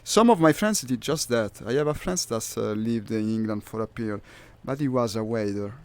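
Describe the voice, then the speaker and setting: A man, chatting casually while gaming, measured and searching for words, laughing easily. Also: medium pitch, no room sound. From Italy. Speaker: co-player on a game stream.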